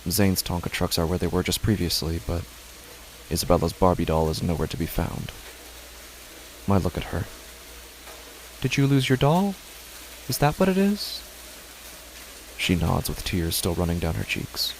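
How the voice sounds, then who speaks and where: A man narrating a first-person horror story in a measured, tense way. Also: deep voice, expressive, slight background noise, low-quality recording.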